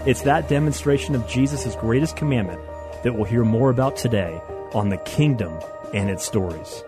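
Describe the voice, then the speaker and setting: Male radio announcer, mid pitch, smooth scripted intro, loud music bed underneath. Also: moderate pace.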